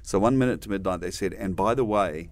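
A man's voice: low voice, a little fast. A middle-aged man from New Zealand with a New Zealand accent, a guest telling stories in a rambling way, with many restarts and dry humor. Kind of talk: conversational interview storytelling.

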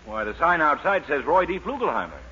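A man playing a fussy, vain gentleman customer with a hesitant manner in a 1940s radio sitcom. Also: a little high, sing-song, a little fast. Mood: fussy, polite. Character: fussy, vain male painter as barber customer. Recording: muffled, very noisy old radio recording with studio audience.

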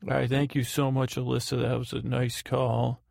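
Rambling, gravelly male podcast host. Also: medium pitch, monotone, darkish tone, no room sound. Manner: rambling, halting, often correcting himself. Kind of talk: rambling housekeeping monologue for a sleep podcast.

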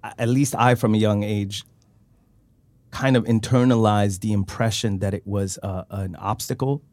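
A man from Sweden leading a thoughtful conversation, speaking in a measured way and searching for his words. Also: fairly low pitch, steady tone, a little mellow, no echo. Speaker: interviewer.

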